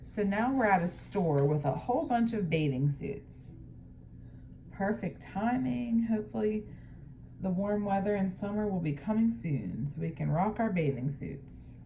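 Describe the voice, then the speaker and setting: A woman teacher doing a picture-book read-aloud, pausing to explain things to young pupils. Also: medium pitch, animated, gravelly, mellow, a little hiss or hum.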